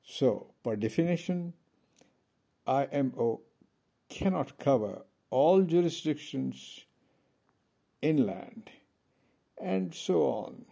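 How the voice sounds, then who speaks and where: A man from India giving a pointed, measured speech from a conference podium. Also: mid-range voice, expressive, creaky, warm tone, husky. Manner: measured, pointed, with pauses between phrases. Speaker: conference speaker.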